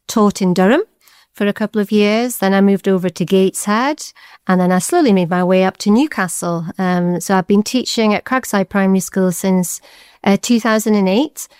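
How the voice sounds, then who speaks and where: A woman's voice: mid-range voice, a little mellow, smooth, dry recording, studio quality. A Scottish woman in her forties, a deputy head teacher, answering interview questions in a chatty way with many fillers. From Scotland.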